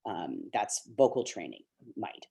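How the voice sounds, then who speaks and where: A man's voice: deep voice, huge pitch swings, a little slow, vocal fry, dark tone, husky. A middle-aged man from Canada, an actor speaking on a video call webinar, talking earnestly and encouragingly with many fillers and restarts. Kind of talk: candid talk to young actors.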